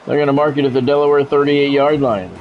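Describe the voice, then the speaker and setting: A man doing measured, broadcast-style football play-by-play over a video game, in clipped phrases with casual gamer asides. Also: medium pitch, flat delivery, highs cut off.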